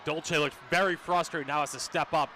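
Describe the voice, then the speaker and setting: A man's voice: on the high side, steady tone, moderate pace, bright, forceful tone, some chatter behind, a little hiss or hum. A man giving live sports radio analysis as color commentator, in short clipped phrases.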